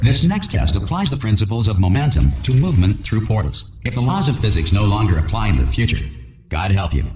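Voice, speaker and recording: An actor playing a pompous, blithely reassuring corporate announcer delivering formal pre-recorded safety messages in a science-fiction video game. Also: male voice, fairly low pitch, echoey room. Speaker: test facility announcer. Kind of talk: scripted public-address announcement.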